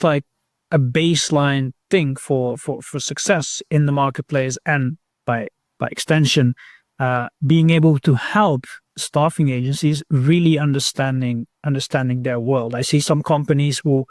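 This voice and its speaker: A man's voice: fairly high pitch, normal speed, no room sound. Man talking thoughtfully in a dry recording. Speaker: podcast guest, recruitment technology professional. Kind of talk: conversational interview answers.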